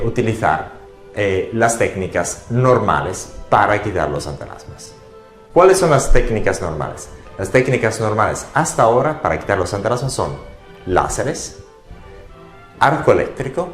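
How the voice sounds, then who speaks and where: Italian born guy talking aesthetics biz, kinda low voice, lots of ehs, echoey room w/ faint music. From Italy. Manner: hesitant, many fillers and restarts. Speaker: aesthetics business founder. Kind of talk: promotional talk and interview.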